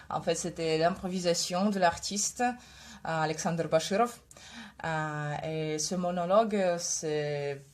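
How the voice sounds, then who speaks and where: A young woman whose first language is Russian talks on camera with a head cold. She speaks slowly, with a somewhat low voice and a lot of hesitation. She breaks off often with filler sounds. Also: slight background noise. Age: 20s. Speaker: vlogger. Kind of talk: casual video talk.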